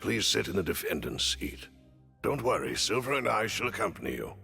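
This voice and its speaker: A genteel butler in a story game, his voice low, gravelly and sharp at the edges. He bows through every sentence with courtly calm. A long hall reverb trails behind him over faint music. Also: brisk, exaggerated voice. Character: gravelly, genteel male butler, dignified and attentive. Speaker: butler attendant.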